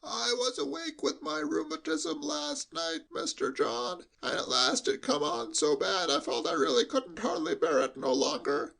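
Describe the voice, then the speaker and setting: A man reading a story aloud and voicing a timid old male butler with a high, bleating, quavering voice and a slow, deferential, hushed way of talking. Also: very animated, creaky, full character voice, rough recording. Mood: timid, confiding.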